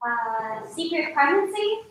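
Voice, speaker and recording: A woman's voice: high-pitched, sing-song, slow, fairly bright tone, exaggerated voice. A young woman acting a quirky, chatty and loyal castmate in a staged play reading. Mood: matter-of-fact. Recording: very echoey room with audience noise.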